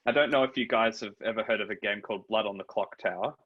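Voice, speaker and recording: Husky low-voiced man, muffled audio. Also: fast talker. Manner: calm, explanatory. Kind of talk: explaining game rules. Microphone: video call.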